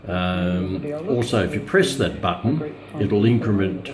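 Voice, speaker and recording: Halting, low-voiced man demonstrating a product. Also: lively intonation, a crowd in the background. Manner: hesitant, halting, thinking aloud. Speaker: radio product reviewer. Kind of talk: casual unscripted product demonstration. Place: outdoors or by a window in bright daylight, filming a radio.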